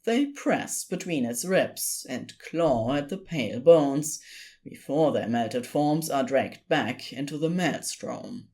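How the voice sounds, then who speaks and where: A woman reading scripted story narration in an expressive storytelling style. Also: a bass voice, takes it slowly.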